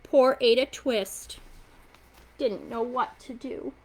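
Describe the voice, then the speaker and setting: A woman reading a children's picture book aloud as a storytime host, with expressive, dramatic delivery and playful emphasis. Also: fairly high pitch, slow, slight background noise, poor audio.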